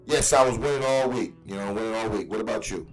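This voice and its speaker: Low-voiced man, podcast co-host, playful casual banter, faint music underneath. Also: fast talker, poor audio. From DC area. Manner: playful, repeats "yes, sir".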